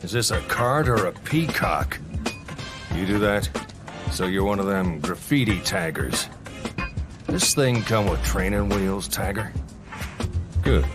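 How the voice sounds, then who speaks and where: Gruff old mentor, folksy game character, very varied intonation, music behind. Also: male voice, sits low, lively intonation, takes it slowly, long pauses. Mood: gruff teasing. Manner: folksy, blunt, teasing. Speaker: crew chief character. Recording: loud music bed behind voice. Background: loud music.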